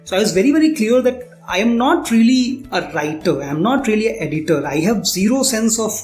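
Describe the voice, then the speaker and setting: A man's voice: sits high, animated, fairly quick, darkish tone. Indian man explaining in a roomy space. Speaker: startup founder interviewed as guest. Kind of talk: conversational interview answers. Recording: roomy echo, faint background music.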